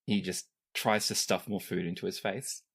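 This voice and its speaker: A game master improvising casual, descriptive narration for a tabletop game, pausing for effect. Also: sits low, husky. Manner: animated, descriptive, pauses for effect.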